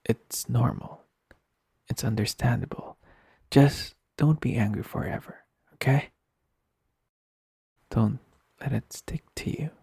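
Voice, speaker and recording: Gentle, consoling male best friend, acted by a man for intimate audio roleplay: mellow, creaky, soft and earnest, music underneath. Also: medium pitch, no echo, clean studio sound, full character voice. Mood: calm, consoling. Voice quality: soft, breathy, husky. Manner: soothing, earnest, unhurried, with long pauses. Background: soft instrumental music.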